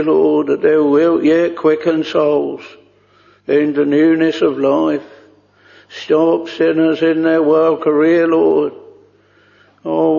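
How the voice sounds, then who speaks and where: Man praying slowly in a gravelly voice. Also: on the high side, mellow. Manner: slow, solemn, pleading with archaic thee and thou. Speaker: minister leading prayer. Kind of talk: extempore congregational prayer. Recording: lo-fi recording with long reverb in a large hall.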